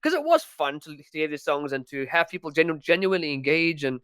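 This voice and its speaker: A man's voice: on the high side, animated, brisk, a bit bright, clear, resonant tone, no room sound, clean studio sound. A young man from South Africa with a South African accent, chatting as a podcast guest, talking freely with frequent restarts and laughs. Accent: South African English. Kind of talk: casual podcast chat.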